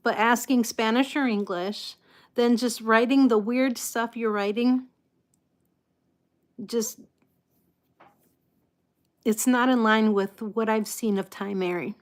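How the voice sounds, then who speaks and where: A woman from the United States hosting a casual live chat, with slightly impeded articulation and a halting, unhurried manner. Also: a little high, steady tone. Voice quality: slightly impeded articulation from a false tooth and past stroke. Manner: halting, unhurried, greeting viewers by name. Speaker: live stream host.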